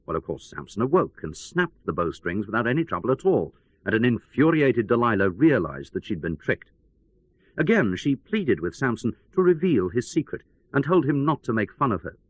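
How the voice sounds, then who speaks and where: A man's voice: medium pitch, big pitch swings, moderate pace, no echo, rough recording. A man playing a radio news correspondent, telling events as a dramatic, wry and emphatic report. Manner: wry, theatrical, emphatic storyteller.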